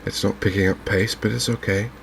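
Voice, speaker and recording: A soft-spoken man giving unhurried ASMR commentary on a video game. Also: lowish voice, steady tone, gravelly, slight background noise. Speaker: gaming video host.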